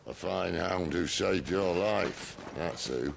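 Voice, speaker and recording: A man playing a wry, middle-aged outlaw leader in a video game, with a gravelly, sardonic, laconic delivery. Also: deep voice, takes it slowly, slight background noise, heavily acted. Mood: wry, assured. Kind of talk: dramatic video game acting.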